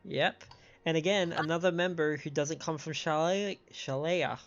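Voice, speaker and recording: A man's voice: a little high, fairly even intonation, normal speed. A man giving casual live commentary as he streams a game. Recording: dull band-limited stream audio, music behind.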